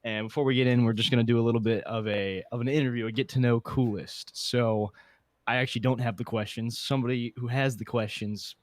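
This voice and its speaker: A man's voice: medium pitch, fairly even intonation, brisk, dry recording. A man hosting a casual group podcast chat in a playful, joking way. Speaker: podcast host.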